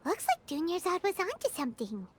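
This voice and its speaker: A woman voicing a tiny, childlike fairy companion in a video game, squeaky, curious, blunt and excitable. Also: brisk, noisy background, full character voice. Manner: exclamatory, blunt.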